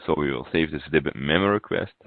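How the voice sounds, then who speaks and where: Phone-like audio, male software trainer, extremely low voice, halting step-by-step webinar walkthrough. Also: dry recording. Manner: halting, pausing mid-phrase. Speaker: software consultant presenting a webinar demo.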